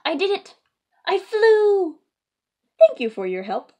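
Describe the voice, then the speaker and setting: A woman's voice: very high voice, sing-song, slow, warm tone, voice pushed up, exaggerated voice. A woman voicing an eager, determined young female pig from a storybook in short, exclamatory sentences. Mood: triumphant. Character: eager, determined, excitable female cartoon piglet.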